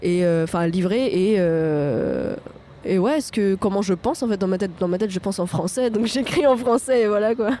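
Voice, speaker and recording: A woman's voice: medium pitch, moderate pace, mellow, a little hiss or hum. A young woman from France, a singer-songwriter answering radio interview questions, hesitant with many fillers and restarts.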